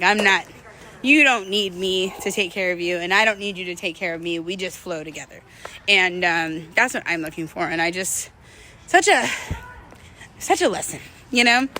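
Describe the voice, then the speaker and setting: A woman's voice: mid-range voice, pushed and bright, slight background noise. A young adult woman talking frankly and expressively in a conversational personal vlog, sharing candid stories with some swearing. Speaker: vlogger. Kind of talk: candid personal storytelling to camera.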